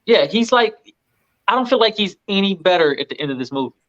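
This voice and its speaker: A man's voice: high voice, animated, fast talker, fairly bright tone, dull audio. A man chatting casually and colloquially on a film review panel, somewhat hesitant in his phrasing. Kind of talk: casual group film discussion.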